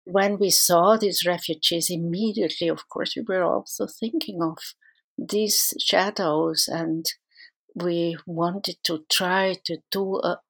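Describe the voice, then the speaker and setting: Earnest Swiss psychoanalyst woman, low voice. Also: fairly even intonation, slow, gravelly. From Switzerland. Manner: thoughtful, earnest, with frequent restarts. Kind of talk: interview answers.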